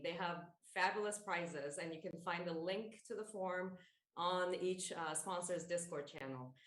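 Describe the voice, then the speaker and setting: A woman's voice: medium pitch, fairly bright tone. A middle-aged woman hosting an online conference, giving welcome announcements over a video call. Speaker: conference host. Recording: roomy video-call sound.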